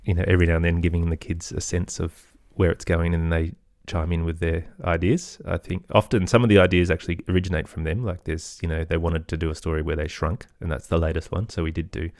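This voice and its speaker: Very deep-voiced man, gravelly, chatting. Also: flat delivery, fast, hardly any pauses, a little mellow, no echo, clean studio sound. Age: middle-aged. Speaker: podcast guest, audio storyteller and producer. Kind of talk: casual interview conversation.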